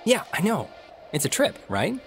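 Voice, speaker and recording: An eager teenage boy with an American accent. He rambles on, upbeat and a little awkward, his pitch jumping around animatedly. Faint music sits behind him. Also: wildly swooping pitch, normal speed, gravelly, heavily acted. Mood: chatty, explaining. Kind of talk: naturalistic video game acting.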